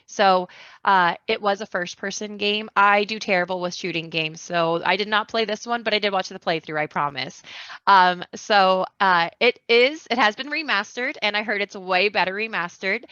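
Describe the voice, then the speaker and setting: Bright-voiced woman, slightly dull recording. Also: mid-range voice, clear, resonant tone, dry recording. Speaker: podcast guest. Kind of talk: casual chat.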